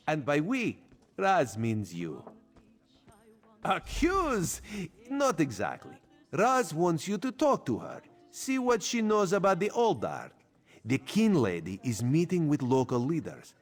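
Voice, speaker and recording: A man's voice: mid-range voice, in a large hall. An actor playing a suave, gossipy cat-man spy in a video game, talking in a sly, playful, theatrical way. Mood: wry, conspiratorial. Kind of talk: video game character dialogue.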